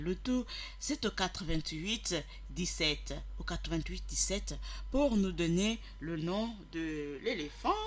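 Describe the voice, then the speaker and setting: Bright-voiced radio host teaching listeners. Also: male voice, high voice. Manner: repeats phrases for emphasis; didactic, addresses listeners directly. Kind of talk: radio show presenting.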